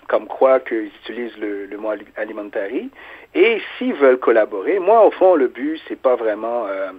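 A man's voice: mid-range voice, breathy edge. A man with a Quebec French accent answering informally as a small business owner in an interview. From Quebec resident of Haitian origin. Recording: narrow phone-like sound.

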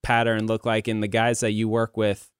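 A man's voice: fairly low pitch, monotone, normal speed, clean tone, no room sound, studio quality. A man in his thirties hosting a casual podcast, talking in a rambling, candid, self-deprecating way. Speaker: podcast host. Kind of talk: casual conversational podcast talk.

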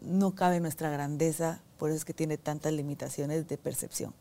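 A woman guest, talking reflectively in a soft, unhurried way and pausing to search for words. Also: deep voice, fairly even intonation, gravelly. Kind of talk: reflective conversational talk.

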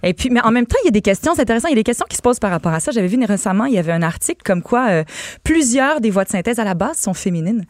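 Une femme québécoise, voix de synthèse, invitée en studio radio, parle d'un ton détendu avec beaucoup d'hésitations et des rires faciles. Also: female voice, mid-range voice, rapid-fire, no echo. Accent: Québécois French. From French Canada. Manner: relaxed, laughs easily, many fillers. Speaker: synthetic voice talent, guest.

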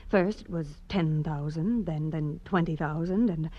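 A woman's voice: low-pitched, measured pace, dry recording, lo-fi sound. A woman playing a refined, troubled young wife with a polite, hesitant manner in a 1940s radio drama. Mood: troubled, earnest. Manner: polite, hesitant, earnest, genteel. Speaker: client in a radio detective drama.

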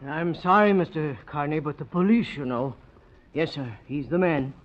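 A man's voice: on the high side, normal speed, close to an everyday voice. A man playing a meek, apologetic little bank clerk, hesitant and deferential, in 1940s radio drama. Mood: nervous, apologetic. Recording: muffled, noisy 1940s radio recording.

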